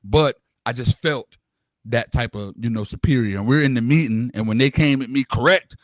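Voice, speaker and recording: A man in his thirties on a casual podcast, talking in an animated, rambling way with fillers and restarts. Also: mid-range voice, normal speed, dry recording. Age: 30s. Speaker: co-host.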